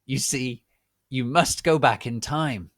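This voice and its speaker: A man's voice: mid-range voice, slow pace, fairly bright tone, no echo, studio quality, pitched above the performer's own voice. A man voicing a solemn, commanding female fortune-telling professor in a portentous, emphatic storybook manner. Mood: urgent, commanding.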